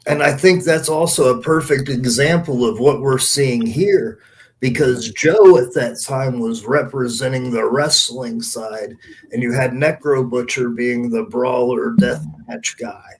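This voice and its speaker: A man in casual podcast chat, explaining things in a halting way, repeating and rephrasing as he comments on a match. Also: medium pitch, low-quality recording. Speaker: podcast co-host, former wrestler.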